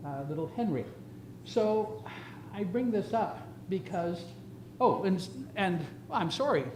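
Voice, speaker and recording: A man in his seventies giving an informal, reminiscing slide lecture in a halting, conversational way, speaking directly to his audience. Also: fairly high pitch, a little hiss or hum. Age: 70s. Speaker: retiring surgeon giving an emeritus lecture.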